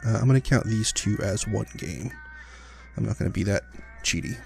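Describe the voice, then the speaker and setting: A man hosting a casual gameplay video, talking in a rambling, relaxed way with lots of fillers while getting over an illness. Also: lowish voice, normal speed, gravelly, faint music behind. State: recovering from illness, sniffly, clearing his throat. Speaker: gaming channel host. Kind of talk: casual let's-play commentary.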